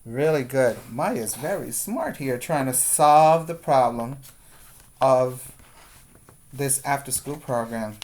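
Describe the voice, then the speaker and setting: A male teacher reading a picture book aloud to preschoolers, pausing to ask the children questions. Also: mid-range voice, lively intonation, darkish tone.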